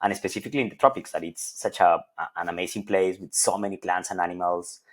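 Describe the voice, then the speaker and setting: A man's voice: low-pitched, fast. A middle-aged man from Colombia, a professor, answering interview questions thoughtfully with frequent hesitations and repeated words. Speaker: associate professor of plant evolution.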